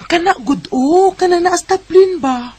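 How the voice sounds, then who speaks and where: Shrill, excitable young Bisaya girlfriend. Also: measured pace. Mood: excited, teasing. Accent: Filipino, Bisaya. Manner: teasing, exclaiming. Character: excitable, gossipy young female classmate and friend. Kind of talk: Filipino radio drama acting.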